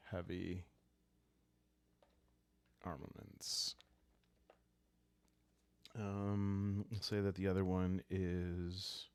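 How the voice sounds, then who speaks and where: A man with a low voice talks at an extremely slow pace, as if there is all the time in the world. He calmly makes up a solo roleplaying tale meant for falling asleep to, thinking aloud in short, halting phrases. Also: clean tone, no room sound. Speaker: host and solo player of a journaling roleplaying game. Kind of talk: calm, soothing improvised solo roleplay narration for sleep listening.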